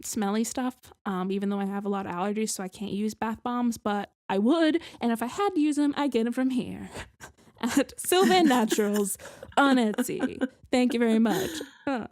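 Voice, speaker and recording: A woman's voice: on the high side, moderate pace, darkish tone, no room sound, studio quality. A woman co-hosting a podcast in casual chat, rambling and self-deprecating with many restarts. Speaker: podcast co-host.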